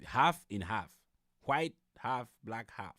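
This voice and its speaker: A man from Cameroon, giving casual, animated live commentary and often repeating himself in disbelief. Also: mid-range voice, slow, dry recording, clean studio sound. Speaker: livestream host reacting to a video.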